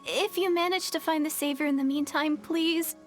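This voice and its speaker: A woman's voice: high voice, fairly even intonation, moderate pace, soft background music, natural voice. A woman voicing a shy, stammering young village girl. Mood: shy earnest. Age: young adult. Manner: hesitant, stammering, easily overwhelmed. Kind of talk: game dialogue.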